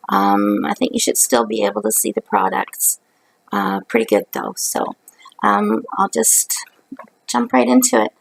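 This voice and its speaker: A middle-aged woman from Canada, hoarse from a cold, chatting casually through a craft haul video on her couch, with lots of ums. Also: on the high side, no room sound, low-quality recording. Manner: rambling, chatty, many ums. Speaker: craft vlogger. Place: living room at night, on a couch. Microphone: webcam at close range.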